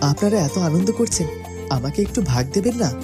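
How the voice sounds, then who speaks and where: A woman's voice: fairly low pitch, sing-song, fast, over music. A woman playing a kind, dutiful young prince who speaks politely and earnestly in a fairytale cartoon. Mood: glad, respectful.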